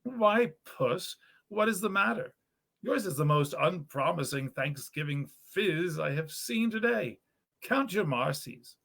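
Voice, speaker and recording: A man voicing a playful, jovial young brother in a storybook, bantering with animated old-fashioned slang. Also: on the high side, measured pace, gravelly, pitched above the performer's own voice, heavily acted. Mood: teasing.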